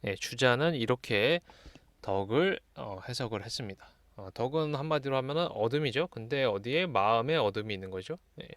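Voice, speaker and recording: A man lectures in a voice of average pitch. He reads passages aloud and then explains them in a measured, teacherly manner. The sound is dry, with very little room in it. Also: animated, fairly bright tone, no room sound. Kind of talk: explanatory lesson, reading classical texts aloud and commenting.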